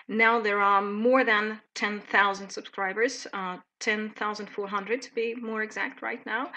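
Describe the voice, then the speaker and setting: A woman in her thirties with a Russian accent, presenting a live teaching webinar in a chatty, lively way with many fillers. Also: mid-range voice.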